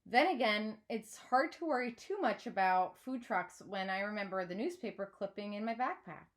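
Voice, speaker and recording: A woman reading a children's novel aloud like a teacher, in an expressive storytelling manner. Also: medium pitch. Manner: clear storytelling with acted dialogue.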